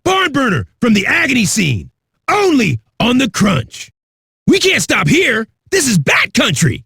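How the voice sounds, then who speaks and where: A foul-mouthed, hyped-up rock radio DJ for a video game. His voice is raspy and very high for a man, with a forceful edge. He swaggers through every line like an aggressive party host, in a close, dry sound. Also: huge pitch swings, unhurried, no room sound, exaggerated voice. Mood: cocky, amped. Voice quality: raspy, gravelly. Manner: aggressive, rowdy, swaggering delivery.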